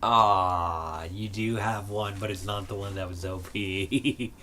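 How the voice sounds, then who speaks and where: A man co-hosting a stream, casually explaining card game rules in halting bursts with fillers. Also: low-pitched, steady tone, bright, forceful tone, slight background noise. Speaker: stream co-host. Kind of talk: casual chat while playing a card game. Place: game store.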